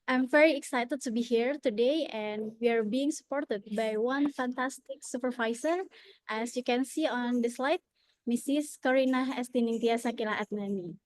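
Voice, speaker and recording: A woman's voice: fairly high pitch, normal speed, no room sound. A young woman with an Indonesian accent giving an earnest conference presentation over a video call, often starting with "okay".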